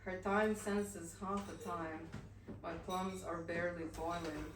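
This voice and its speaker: A woman's voice: on the low side, fairly even intonation. Woman reading poems in a café. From Bosnia. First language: Bosnian-Croatian-Montenegrin-Serbian. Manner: soft-spoken, halting between poems with fillers. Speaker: poet. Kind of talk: poetry reading with spoken introductions. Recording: roomy echoey café, voice from far off, background noise.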